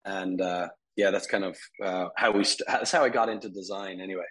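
A man in his thirties, from Ireland, talking casually as an interview guest, rambling with fillers and soft laughs. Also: sits low, steady tone, fairly quick. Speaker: guest, furniture company CEO.

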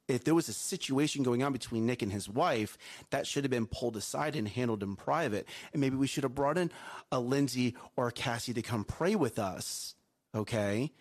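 A man co-hosting a morning show, a coach with a loud voice, giving emphatic advice and often checking in with "okay?" and "right?". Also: mid-range voice. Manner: emphatic, repeats himself, asks "okay?" and "right?". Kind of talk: casual chat, preachy life advice.

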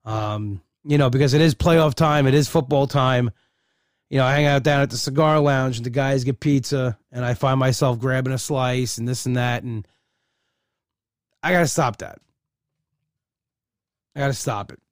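A man's voice: medium pitch, moderate pace, long pauses, no room sound. Rambling, candid man on a dry mic. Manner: rambling, candid, many restarts. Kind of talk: casual personal monologue.

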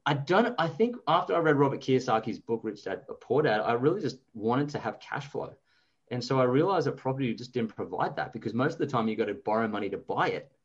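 A man's voice: mid-range voice, very animated, a little fast, breathy edge, highs cut off. A man in his thirties with an Australian accent, talking casually and anecdotally as an interview guest. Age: 30s. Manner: chatty, informal, anecdotal. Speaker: interview guest, investor and author.

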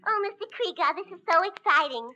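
Heavy noise covers this old radio comedy recording of a dim, gushing New girl. Her voice is extremely high and swoops up and down with naive excitement. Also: a little fast, dull audio. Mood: gushing, starstruck. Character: gushing, dim young woman, tavern owner's daughter. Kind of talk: 1940s radio comedy acting. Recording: heavily noisy old 1940s radio recording.

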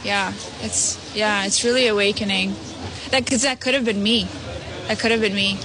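A woman's voice: medium pitch, fairly even intonation, moderate pace, rough recording. A young woman born in Ukraine, a volunteer, giving short, heartfelt answers that trail off with "yeah," outdoors at a busy crossing. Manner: short, warm, emotional answers ending in "yeah". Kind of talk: street interview answers. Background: crowd.

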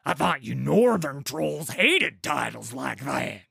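A man's voice: mid-range voice, very animated, slow pace, vocal fry, bright, forceful tone, breathy edge, dry recording, clean studio sound, exaggerated voice. A man voicing a cheerful, blunt, hulking male troll king.

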